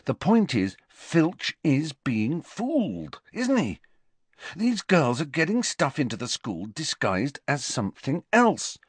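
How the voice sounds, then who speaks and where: A man's voice: fairly high pitch, wildly swooping pitch, slow, breathy edge, no room sound, pitched above the performer's own voice, heavily acted. A man voicing a defensive, stubborn teenage schoolboy who argues insistently.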